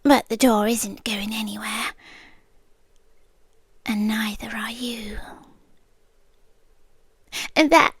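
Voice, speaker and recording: A woman playing a soft-spoken obsessive girlfriend. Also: on the high side, very slow, pauses often, breathy edge, dry recording, clean studio sound, exaggerated voice. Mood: calm doting. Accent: British. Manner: soft-spoken, drifting into whispers, gently unsettling. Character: obsessive young woman girlfriend, calm, doting and possessive. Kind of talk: ASMR roleplay.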